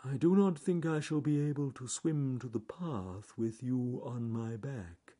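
Man doing a slow, careful tortoise. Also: medium pitch, warm tone, pitched above the performer's own voice, natural voice. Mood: careful, pondering. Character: slow, careful, plodding old-fashioned male tortoise. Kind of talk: children's storybook character voice.

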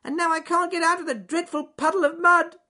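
A man's voice: fairly even intonation, a little fast, sharp tone, heavily acted. A man voicing a rude, frantic, bad-tempered male squirrel in a children's storybook. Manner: shrill, blunt, cross exclamations.

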